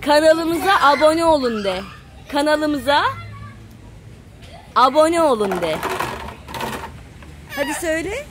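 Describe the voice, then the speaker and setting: A woman's voice: high voice, expressive, slow pace, pauses often, background noise. A woman chatting casually to the camera and calling out to children in a sing-song way, recorded on a handheld phone at an outdoor playground. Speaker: parent filming a family vlog.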